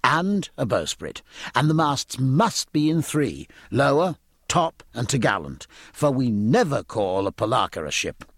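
A man's voice: mid-range voice, big pitch swings, unhurried, dry recording, clean studio sound, voice pushed up. A man voicing an earnest, eager young midshipman in an audiobook, polite and keen to explain nautical matters. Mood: earnest, kindly. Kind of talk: audiobook character acting.

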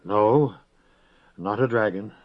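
A man voicing a grave, calm grown wizard who speaks quietly and gently, in a storybook reading. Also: on the low side, slow pace. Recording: dull lo-fi recording.

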